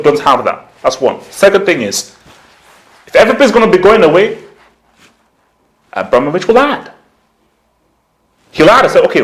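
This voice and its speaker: A man giving casual, opinionated football commentary as a vlog host, slangy and conversational, repeating points for emphasis. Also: fairly high pitch. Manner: slangy, conversational, emphatic repetition, acts out imagined quotes. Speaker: football YouTuber, channel host.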